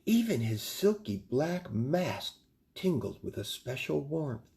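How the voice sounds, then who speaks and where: A man's voice: medium pitch, very animated, slow pace, vocal fry, darkish tone, a little hiss or hum. A man in his fifties reading a children's bedtime story expressively, like a grandfather, in a room at home. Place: small home room, indoors.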